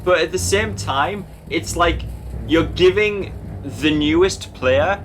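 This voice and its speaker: A man in a casual film watch-along chat, joking and animated as he comments. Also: a little high, slow pace, slight background noise. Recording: film music playing behind. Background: film soundtrack music.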